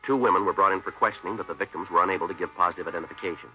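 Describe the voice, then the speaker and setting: An actor plays a terse American police detective in a vintage radio drama. His very low voice moves fast, clipped and deadpan. The old recording is dull. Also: soft background music, close to an everyday voice. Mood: matter-of-fact. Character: laconic, dry, unflappable male police detective.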